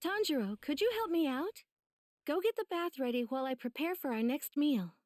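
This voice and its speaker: Gentle, high-voiced anime mother. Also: animated, normal speed, dry recording, studio quality. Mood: gentle concern. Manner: gentle, solicitous. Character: gentle, caring mother of a rural family. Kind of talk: anime game voice acting.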